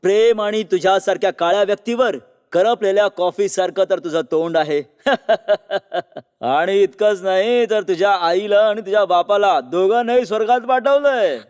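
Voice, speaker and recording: Man acting a high-voiced sneering villain husband, echoing. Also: steady tone, fairly quick, few pauses, a little mellow, smooth, lightly acted. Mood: gloating, sneering. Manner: sneering, mocking. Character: callous young villain husband, sneering and boastful. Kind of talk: cartoon moral story voice acting. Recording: long hall-like reverb.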